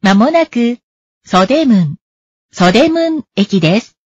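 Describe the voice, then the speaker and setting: A woman's voice: a little high, sing-song, extremely slow, darkish tone, breathy edge, no echo. A woman reading a brief scripted announcement of the approaching station. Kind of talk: scripted Japanese transit announcement. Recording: dull, lo-fi announcement audio.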